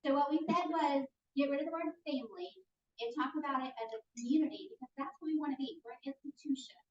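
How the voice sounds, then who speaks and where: A woman's voice: high-pitched, fast. A woman reporting back for her group at a workshop, earnest and emphatic. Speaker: workshop participant. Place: large conference room. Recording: echoey, distant and dull room recording.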